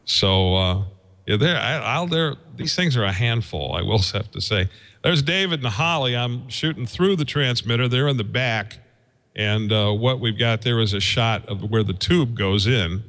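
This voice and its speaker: A man giving an informal technical explanation as a guest engineer, in a halting, folksy way, thinking aloud as he goes. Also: mid-range voice, fairly bright tone. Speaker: guest, radio station engineer.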